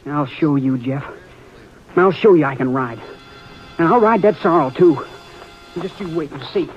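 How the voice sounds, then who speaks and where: Guy doing a young city kid, halting, kinda high voice, old radio. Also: faint music behind, lightly acted. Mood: defiant. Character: young city-bred greenhorn, shaken but stubborn. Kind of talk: 1950s radio western drama. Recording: dull old radio broadcast.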